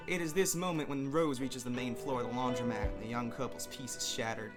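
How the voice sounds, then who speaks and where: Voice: mid-range voice, steady tone, a little fast, over music. A narrator giving a wry, theatrical dramatic reading of a scripted story.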